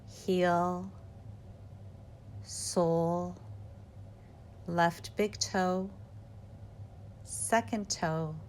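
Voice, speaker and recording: A woman guiding a yoga nidra meditation in a calm, measured, instructional way, with short cues and pauses. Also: lowish voice, a little hiss or hum.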